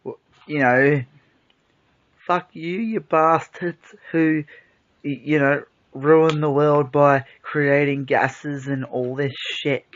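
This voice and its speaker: A man's voice: a little high, steady tone, slow pace, fairly bright tone. A young Australian man co-hosting a casual podcast, telling stories loosely with swearing and fillers. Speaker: podcast co-host. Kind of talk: casual chat. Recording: dull lo-fi sound.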